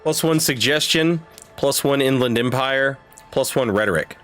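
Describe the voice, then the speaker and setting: A man's voice: mid-range voice, moderate pace, fairly bright tone. A man streaming a game with casual commentary, thinking aloud in an informal way. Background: game music.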